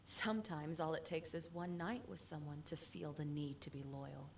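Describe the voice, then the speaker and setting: A woman's voice: sits low, fairly even intonation, moderate pace, gravelly, a little hiss or hum. A woman voicing a jaded, vain school official in her late thirties in a visual novel, wry, knowing and frank. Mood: wry, resigned.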